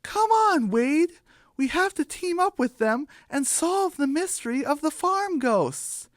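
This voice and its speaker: A woman voicing an earnest, excitable young female chicken in a children's radio play. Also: high-pitched, unhurried, no room sound, clean studio sound, voice pushed up. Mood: earnest, urging.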